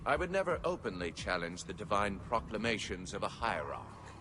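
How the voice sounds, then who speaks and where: Low, solemn alien scribe. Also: male voice, sing-song, background noise. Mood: grave, disapproving. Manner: formal, ceremonious, measured narration. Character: solemn, severe male alien scribe, pious and foreboding. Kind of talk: scripted video game lore narration.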